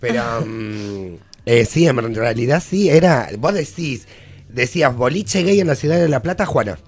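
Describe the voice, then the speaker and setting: A man's voice: mid-range voice, expressive, normal speed. A man with an Argentine Rioplatense accent chatting casually as a radio panelist, emphatic and exclamatory. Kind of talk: casual chat.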